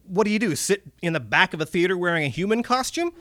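A man's voice: sits high, animated, fast talker, fairly bright tone, dry recording, voice pushed up. A man voicing a young American man who is nervous and sarcastic, stammering with disbelief. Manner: stammering, incredulous.

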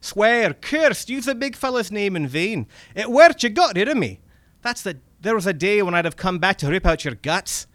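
A man's voice: high-pitched, animated, normal speed, a bit bright, no echo, pitched above the performer's own voice. A man voicing a sarcastic, grumpy but polite little old vampire man with a Scottish accent in a story reading. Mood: sarcastic, scolding. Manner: scolding, wheedling, colloquial.

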